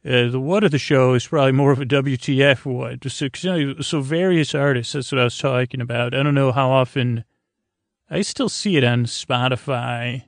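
An American man with a creaky, gravelly voice hosting a bedtime podcast in a meandering, halting monologue that trails off and circles back. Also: mid-range voice, no room sound, studio quality. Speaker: host of a bedtime sleep podcast.

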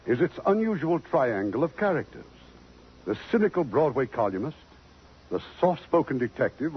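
Animated radio host, muffled old broadcast. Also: male voice, medium pitch, slightly rough. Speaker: radio show host and narrator. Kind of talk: 1950s radio scripted introduction and narration.

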